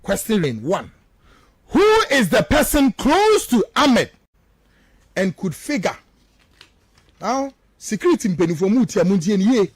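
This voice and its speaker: High-pitched, animated Ghanaian man, emphatic commentator. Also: a little slow, pauses often, slightly rough, dry recording. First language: Twi. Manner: emphatic, repeats rhetorical questions for effect. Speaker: political commentator, studio guest. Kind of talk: impassioned radio political commentary.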